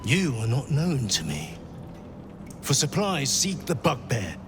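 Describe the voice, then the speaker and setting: An actor voicing a pompous male guild bursar in a game, speaking in a formal, measured and guarded way. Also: mid-range voice, huge pitch swings, music in the background. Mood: curt. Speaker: game character. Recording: game audio with ambient noise.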